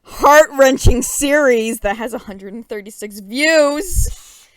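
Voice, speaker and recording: A woman's voice: high voice, slow, a bit bright, smooth, no room sound, low-quality recording. A woman reading a comic story aloud in a mock-dramatic, theatrical and playfully emphatic way.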